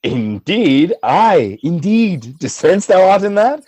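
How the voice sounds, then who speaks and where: A man playing a proud military commander and loving husband turning suspicious, in theatrical Shakespearean radio play style, swinging from tender to forceful. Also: high voice, sing-song, takes it slowly, husky, no room sound, full character voice. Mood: suspicious, agitated.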